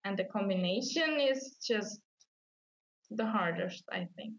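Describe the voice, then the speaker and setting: A woman's voice: mid-range voice, lively intonation, measured pace. A woman from Austria with an Austrian German accent, a native German speaker telling her story as an interview guest and acting out her own past thoughts. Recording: slightly dull lo-fi sound.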